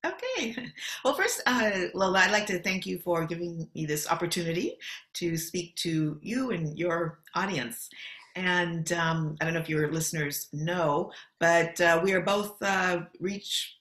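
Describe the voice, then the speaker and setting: A middle-aged American woman from Los Angeles, answering interview questions in an articulate, measured way. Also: lowish voice, expressive, fairly bright tone. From Los Angeles, USA. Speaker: guest. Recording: roomy echo.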